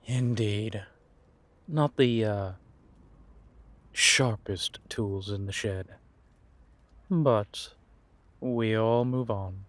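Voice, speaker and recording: A man playing a world-weary, wry and menacing vampire intelligence operative in an audio roleplay, confiding in a measured, drawn-out way. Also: lowish voice, dry recording. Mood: wry, amused. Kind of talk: roleplay audio drama monologue addressed to a listener.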